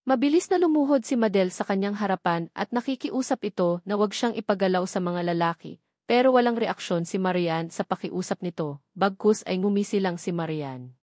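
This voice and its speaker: A woman's voice: mid-range voice, brisk, a little mellow, no echo. Woman narrating fiction, close dry sound. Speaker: audiobook narrator. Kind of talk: dramatic scripted story narration.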